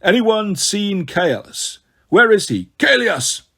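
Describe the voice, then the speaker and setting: A man's voice: medium pitch, animated, normal speed, lightly acted. A man voicing an imperious undead Roman king. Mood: impatient. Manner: commanding, scolding. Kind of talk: audiobook character voice.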